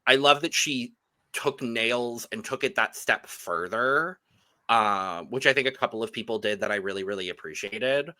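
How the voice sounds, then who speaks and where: A man's voice: mid-range voice, moderate pace, pushed and bright. A man co-hosting a podcast, giving casual, opinionated reviews of fashion looks. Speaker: podcast co-host reviewing drag runway looks.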